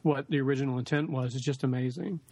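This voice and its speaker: A man co-hosting a podcast in casual chat, his voice raspy and weak tonight. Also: medium pitch, fairly even intonation, fast, warm tone, no echo. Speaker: podcast co-host.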